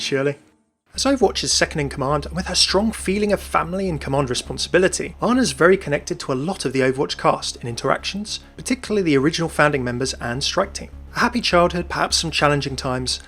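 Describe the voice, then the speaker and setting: A man's voice: a little high, expressive, fast talker, a bit bright, faint music behind. A man presenting scripted video game lore commentary in a chatty, enthusiastic manner.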